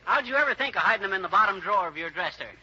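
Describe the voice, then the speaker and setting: High-voiced, wisecracking radio husband. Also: male voice, animated, very fast. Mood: breezily cheeky. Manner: folksy, brash, ungrammatical wisecracks. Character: nosy, cheeky, wisecracking husband. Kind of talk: 1940s American radio comedy acting. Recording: muffled, noisy old-time radio recording.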